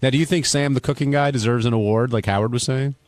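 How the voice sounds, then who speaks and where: A man's voice: medium pitch, fast, darkish tone, no echo. A man hosting a radio talk show, casually putting questions to his panel and callers. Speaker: host of a radio wrap-up show. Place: radio studio.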